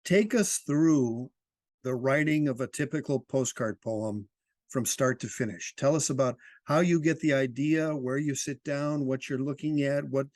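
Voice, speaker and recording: A man's voice: medium pitch, measured pace, darkish tone, no room sound. Conversational man interviewing in close, dry sound. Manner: encouraging, jokey, teasing.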